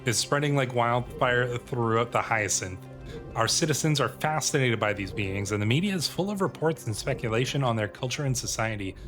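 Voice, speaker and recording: Clear music bed, male gaming host, medium pitch, roleplay commentary, reading aloud, musing to himself. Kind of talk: roleplay game commentary, reading on-screen text aloud and thinking out loud. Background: game music.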